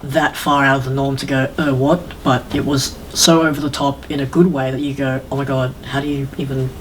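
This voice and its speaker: A man's voice: mid-range voice, flat delivery, a little fast, fairly bright tone, a little hiss or hum, rough recording. A man chatting casually and emphatically on a podcast, like a keen fan. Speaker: podcast panelist. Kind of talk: casual, enthusiastic podcast chat.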